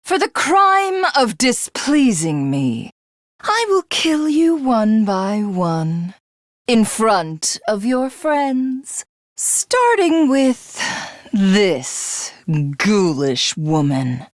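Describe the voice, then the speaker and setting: A woman's voice: a little high, big pitch swings, very slow, dry recording, studio quality, exaggerated voice. A woman playing a cruel, megalomaniac villainess in a video game, grandiose and theatrical, with a sadistic streak and a hunger to be God. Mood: cold, menacing.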